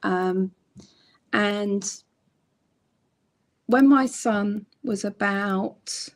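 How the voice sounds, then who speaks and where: Lady, croaky from a cold, takes her time, lots of ums. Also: medium pitch. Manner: slow, reflective storytelling with many ums. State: hoarse from a cold. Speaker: guest crochet designer and author. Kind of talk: casual chat on a livestream.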